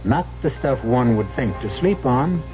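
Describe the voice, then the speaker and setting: A man hosting a radio horror anthology with measured, dramatic, theatrical delivery. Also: mid-range voice, expressive, dark tone, faint music behind. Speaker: radio show host. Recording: dull old radio recording.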